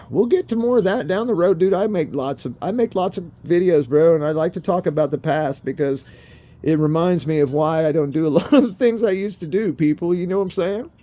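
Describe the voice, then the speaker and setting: Laid-back fifties man, dark mellow voice. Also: fairly high pitch, brisk. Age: 50s. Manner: laid-back, swears often, keeps calling the viewers bro and people. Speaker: vlogger. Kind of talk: rambling casual monologue. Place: in a car.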